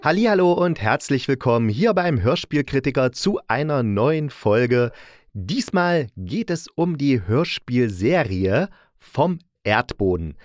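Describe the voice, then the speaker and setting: A man's voice: medium pitch, very animated, a little slow, no echo, soft background music. A man hosting a podcast, giving a casual, chatty spoken review with self-correcting asides. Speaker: podcast host reviewing radio plays.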